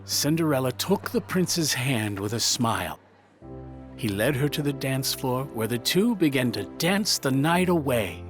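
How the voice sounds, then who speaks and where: Animated male children's storyteller over music. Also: mid-range voice, measured pace. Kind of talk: scripted children's story narration.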